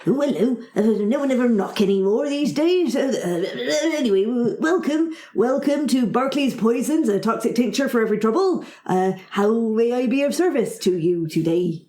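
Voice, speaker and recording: A woman's voice: fairly high pitch, expressive, moderate pace, hardly any pauses, mellow, pitched above the performer's own voice, lightly acted. A woman voicing a flustered, courteous male dwarf who keeps a poison shop, stammering through his sales patter in a tabletop game. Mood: flustered, welcoming. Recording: roomy studio sound.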